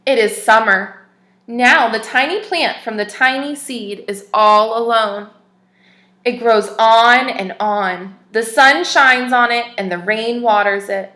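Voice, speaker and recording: A teacher reading a storybook aloud to little kids, her voice bright and a touch high for a woman. She reads with lively expression and pauses to quiz her listeners. The sound rings in an echoey room. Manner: expressive, asks the young listeners questions. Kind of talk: reading a picture book aloud to children.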